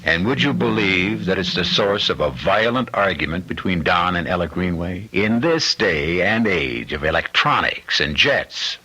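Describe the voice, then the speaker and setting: Deep male old time radio mystery narrator, theatrical, lively intonation, music behind him. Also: slight background noise, rough recording. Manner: theatrical, rhetorical, deliberate emphasis. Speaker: radio drama host and narrator.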